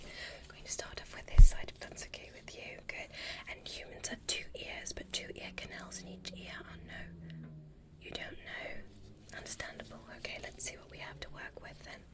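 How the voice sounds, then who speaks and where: Softly whispering woman, ASMR roleplay. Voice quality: breathy whisper. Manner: soft, soothing, reassuring, unhurried instructions. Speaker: ASMR performer. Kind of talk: whispered ASMR medical roleplay as an alien examiner.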